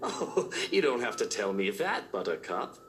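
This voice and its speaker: A man's voice: lowish voice, very animated, normal speed. A man voicing a smug, theatrical wealthy husband in a visual novel game, flowery and mocking. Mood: teasing. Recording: roomy sound with music behind.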